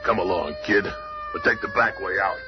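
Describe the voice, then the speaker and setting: A man playing a gruff, protective, big monster-like hero in a radio drama. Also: deep voice, fairly quick, over music, close to an everyday voice. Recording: muffled, noisy old radio broadcast.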